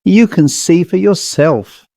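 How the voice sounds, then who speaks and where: A man's voice: sits high, takes it slowly, creaky, mellow, dry recording, studio quality, pitched above the performer's own voice, full character voice. A man voicing an earnest, shaken male explorer in an audiobook horror story, hesitant and grave as he recounts eerie things. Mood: subdued.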